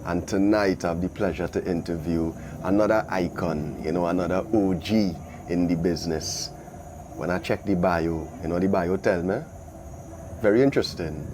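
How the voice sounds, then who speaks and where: Male talk show host, Trinidadian accent, low-pitched, casual slangy delivery, clear background noise. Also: steady tone, normal speed. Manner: informal Caribbean slang, repeats short affirmations. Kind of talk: casual conversational interview.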